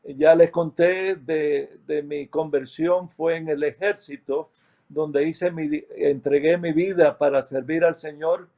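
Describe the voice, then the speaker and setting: A middle-aged man from Cuba with a Cuban accent, calmly recounting a ministry's history, pausing often and correcting himself as he goes. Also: a little high. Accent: Cuban Spanish. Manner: unhurried, with many hesitations and self-corrections. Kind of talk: slow, reflective testimony.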